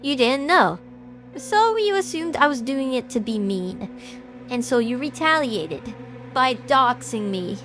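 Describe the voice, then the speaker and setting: A woman's voice: high-pitched, animated, unhurried, a bit bright, slight background noise. A woman playing a defensive young detective streamer in an audio drama, with a stern, pointed way of talking. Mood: defensive, reproachful.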